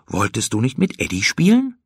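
A narrator as a questioning, husky mother rabbit. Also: mid-range voice, huge pitch swings, moderate pace, dry recording, clean studio sound, pitched above the performer's own voice, full character voice. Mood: curious. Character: puzzled, gently concerned mother rabbit. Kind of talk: children's storybook character voice.

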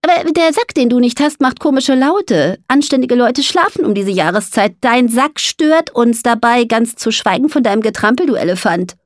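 A grumpy male storybook mole, acted out by a woman, pitched high and swinging up and down with indignation. He scolds and fusses like a sleeper who has been woken far too early. The sound is close and dry. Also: lively intonation, normal speed, hardly any pauses, dry recording, lightly acted. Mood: annoyed. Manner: indignant scolding, stammers when nervous. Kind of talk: audiobook character voice.

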